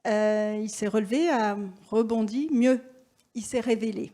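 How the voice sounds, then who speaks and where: A woman hosting an event, introducing a guest on stage earnestly and pausing as she looks for her words. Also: fairly high pitch, steady tone, dark tone. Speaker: event host.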